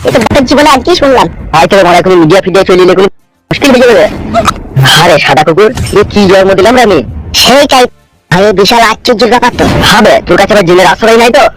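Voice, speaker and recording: A man's voice: sits high, expressive, rapid-fire, low-quality recording. A man voicing a flabbergasted, grumbling, foul-mouthed father in a comedy cartoon, exclaiming in exasperation.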